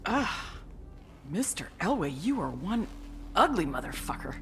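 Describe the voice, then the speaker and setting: Sardonic female spook, low voice, blunt, intimidating, video game cutscene, clear music underneath. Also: very animated, a little slow, a bit bright, exaggerated voice. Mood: taunting threat. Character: tough, foul-mouthed, sardonic female intelligence agent. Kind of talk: video game briefing narration and interrogation.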